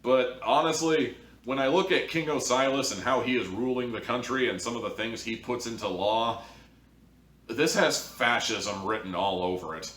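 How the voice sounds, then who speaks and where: A man's voice: medium pitch, normal speed, fairly bright tone. A man giving an informal, ranting critical review in an exasperated, theatrically dramatic way, drawing out words for emphasis. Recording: echoey room, distant mic, faint noise.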